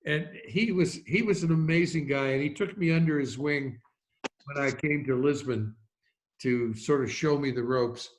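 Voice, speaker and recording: An older man chatting casually and reminiscing in an unhurried, rambling anecdotal way. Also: mid-range voice, a little hiss or hum, rough recording. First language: English. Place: online video call.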